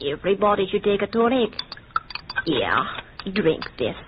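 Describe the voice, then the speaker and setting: An actress in an old-time radio drama playing a sly, scheming household nurse with an insistent, theatrical delivery, on a tinny, noisy recording. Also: female voice, mid-range voice, sing-song, moderate pace, lightly acted. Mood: coaxing.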